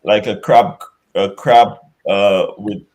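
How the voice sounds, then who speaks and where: A man with a Dominican accent, a chef answering interview questions in a relaxed, reminiscing way. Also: on the low side, slow. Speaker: chef guest.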